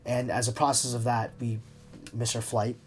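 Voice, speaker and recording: A man's voice: mid-range voice, flat delivery, normal speed, soft background music. A young man speaking candidly and reflectively in an interview, often hesitating as he searches for his words. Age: young adult. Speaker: interview subject.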